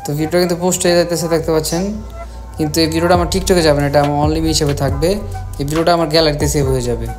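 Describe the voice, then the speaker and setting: A man with a Bangladeshi accent giving step-by-step software instructions as a tutorial host, repeating his phrases as he goes. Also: on the high side, fairly even intonation, rapid-fire. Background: music.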